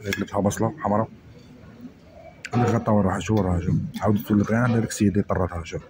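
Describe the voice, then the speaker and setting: Food vlogger, male, Oran Algerian Arabic accent, relaxed chatty delivery, phone recording with steady background noise outdoors. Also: lowish voice, rapid-fire. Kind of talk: casual chat while eating. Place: outdoor restaurant terrace. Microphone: phone camera held over the plate.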